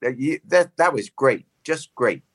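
A man's voice: medium pitch, expressive, a little slow, slight background noise. A man who is a show regular, chatting and joking casually at a live show. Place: live show at a coffee parlor.

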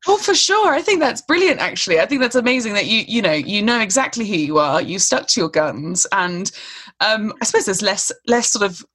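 A woman's voice: medium pitch, big pitch swings, brisk, fairly bright tone. A woman hosting a casual chat, talking loosely with lots of fillers and frequent laughs. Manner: chatty, laughs often, many fillers.

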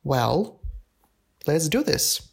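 A man's voice: fairly high pitch, very animated, extremely slow, vocal fry, music in the background. A man in his early twenties co-hosting a casual podcast with a friend, often laughing and complimenting her. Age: 20s. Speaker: podcast co-host. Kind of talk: casual chat.

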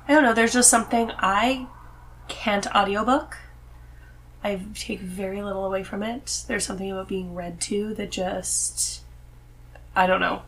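A woman's voice: mid-range voice, steady tone, normal speed, a bit bright, a little hiss or hum, rough recording. A woman in her thirties chatting casually as a guest on a podcast. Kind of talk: casual chat about books.

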